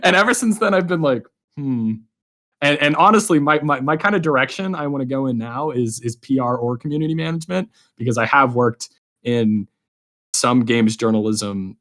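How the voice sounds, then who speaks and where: A man's voice: mid-range voice, expressive, normal speed, a bit bright. A man in his early twenties hosting a podcast in a casual, informal, rambling way. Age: early 20s. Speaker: podcast host.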